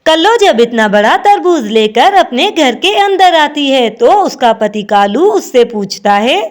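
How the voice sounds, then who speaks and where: A woman narrating a scripted children's cartoon story in an expressive storybook manner. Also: sits high, measured pace.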